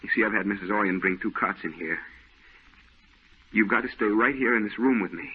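Secretive painter, low, husky and terse, old-time radio. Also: male voice, a little fast. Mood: guarded. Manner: terse, guarded, tense. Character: troubled, secretive male painter. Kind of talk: 1940s radio acting. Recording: tinny old radio broadcast.

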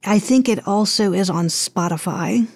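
A woman speaking as a podcast guest, chatting casually and somewhat haltingly. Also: medium pitch, a little mellow, husky, no room sound, studio quality. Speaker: podcast guest, audio producer. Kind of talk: casual interview chat.

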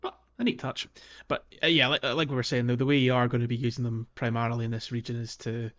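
A man's voice: medium pitch, level tone, fast talker, fairly bright tone, highs cut off. A man co-hosting a casual video game podcast, talking in an informal, somewhat hesitant way. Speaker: podcast host.

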